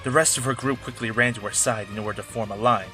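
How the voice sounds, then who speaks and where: A man's voice: fairly low pitch, steady tone, fast talker, sharp tone. A man playing a sardonic wolf-man drifter and former warrior, narrating and acting casually in an audio drama. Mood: wry narration. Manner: wry, casual. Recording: music behind.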